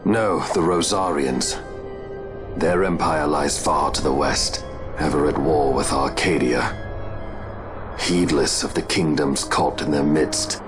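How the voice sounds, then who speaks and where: Veteran knight: deep, measured, formal; game cutscene with music. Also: male voice. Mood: solemn. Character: deep-voiced loyal veteran knight, solemn and steadfast. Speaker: knight escorting a princess. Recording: game cutscene with loud score.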